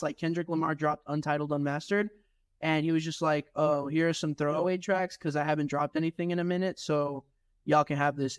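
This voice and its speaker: A young man speaking his mind at length, reflective and sure of his views. His voice sits a little high for a man. The recording is dry and close. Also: no room sound. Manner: opinionated, reflective, speaks in long stretches. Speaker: rapper guest. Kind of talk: casual chat. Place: studio room.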